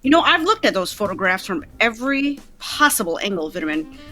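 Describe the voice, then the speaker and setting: A woman's voice: mid-range voice, big pitch swings, a little fast, sharp tone, soft background music. A woman playing an earnest, baffled police detective in a radio play, speaking emphatically. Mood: puzzled, probing. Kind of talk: radio play table read.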